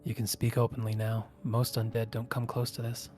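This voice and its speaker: A man's voice: mid-range voice, completely flat delivery, normal speed, a little mellow, faint music behind, lightly acted. Man voicing a curt, cryptic swordswoman. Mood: stern, dismissive. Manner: terse, solemn, correcting. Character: curt, cryptic sword-bearing woman guiding adventurers.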